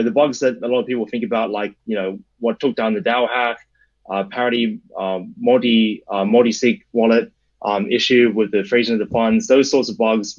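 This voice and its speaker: A man's voice: medium pitch, highs cut off. A young man with an Australian accent giving conversational answers as an interview guest. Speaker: interview guest, startup adviser.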